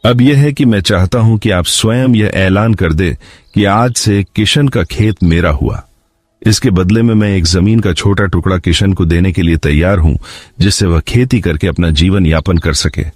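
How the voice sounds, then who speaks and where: Man playing a deep-voiced scheming village landlord. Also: normal speed, no echo. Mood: sly, deferential. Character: scheming adult male landlord, deferential yet grasping. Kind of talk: Hindi cartoon moral story dialogue.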